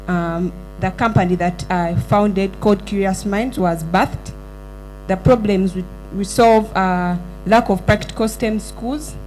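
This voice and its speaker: A woman from Uganda, an engineer and founder, giving an earnest startup pitch with small slips and self-corrections. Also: darkish tone, low-quality recording. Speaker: entrepreneur pitching at a summit.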